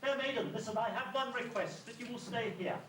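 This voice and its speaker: A man's voice: extremely high pitch, brisk, distant mic. A man playing a courtly, lovelorn, commanding Beast in an amateur rhyming pantomime, with formal declamation. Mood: courtly wooing. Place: school hall stage, far camera. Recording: echoey, noisy amateur stage recording from afar.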